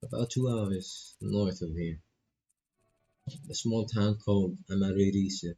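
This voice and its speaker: A man voicing a reserved, hesitant teenage girl, a new boarding school student in a visual novel, with a gravelly, husky voice. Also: low-pitched, takes it slowly, mellow, faint music behind, full character voice. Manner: hesitant, understated. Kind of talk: visual novel dialogue read aloud.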